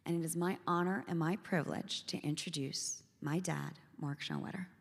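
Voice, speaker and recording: A woman's voice: low-pitched, fairly even intonation, moderate pace, gravelly. A middle-aged woman introducing the speaker to an audience, talking to them earnestly and directly. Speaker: event host introducing the speaker. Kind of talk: public introduction. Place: synagogue hall. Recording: reverberant hall.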